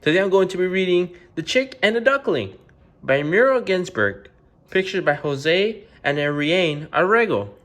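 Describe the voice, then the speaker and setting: Lively, higher-voiced man reading to children. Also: normal speed. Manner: informal, chatty asides. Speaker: read-aloud host and narrator. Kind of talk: children's picture book narration and casual sign-off.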